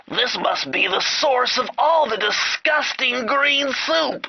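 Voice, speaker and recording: A man's voice: extremely high pitch, creaky, muffled recording, heavily acted. A man voicing a nerdy, anxious, fussy turtle gadget expert in a cartoon video game, explaining things precisely.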